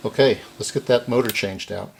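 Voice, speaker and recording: A man's voice: medium pitch, brisk, vocal fry, soft background music. A man giving a casual hands-on repair narration, explaining and thinking aloud as he works. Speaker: repair video host.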